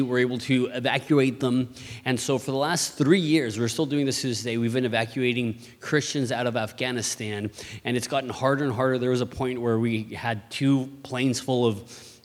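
A man from Mexico who leads a church missions ministry, giving an earnest presentation with frequent restarts and repeated words. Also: medium pitch. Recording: long hall reverb.

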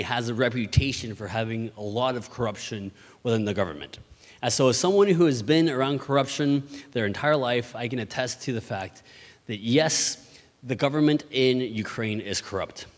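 A man from Mexico gives an earnest church missions presentation, often restarting and repeating words as he goes. His voice sits at a middling pitch and carries a long reverb, as if it is filling a large hall. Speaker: missions ministry leader.

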